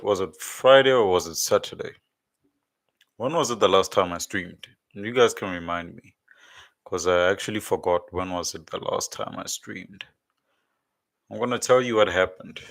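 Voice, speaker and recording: A man's voice: sits low, gravelly, a bit bright, no room sound. A man hosting a casual religious livestream, congested with a blocked nose and sore throat, rambling and repeating words as he searches for them. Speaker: livestream host.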